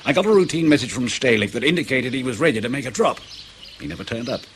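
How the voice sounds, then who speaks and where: An actor as a male field agent in a radio drama, with a very mobile, medium-pitched voice. He is prickly and defensive, with clipped, emphatic phrasing. Faint outdoor ambience lies behind him. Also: lively intonation, quick pace, a little hiss or hum, natural voice. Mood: irritated, emphatic. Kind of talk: naturalistic radio drama acting.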